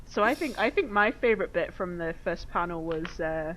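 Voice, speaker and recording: A woman co-hosting a podcast in a relaxed, informal chat. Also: lowish voice, moderate pace, rough recording. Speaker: podcast co-host. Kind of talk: casual chat.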